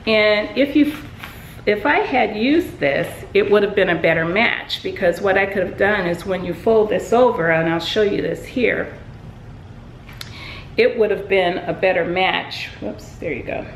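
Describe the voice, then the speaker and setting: A woman's voice: mid-range voice, measured pace, echoey room, a little hiss or hum, poor audio. A woman sewing vlogger casually showing her handmade work, chatty with many ums and restarts. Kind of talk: casual show-and-tell.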